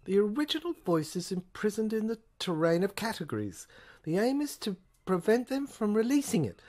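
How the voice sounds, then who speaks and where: A woman's voice: medium pitch, big pitch swings, normal speed, a little mellow, voice pushed up. A woman voicing a sinister, sarcastic male chief detective, an alien invader lecturing pompously in an audio play.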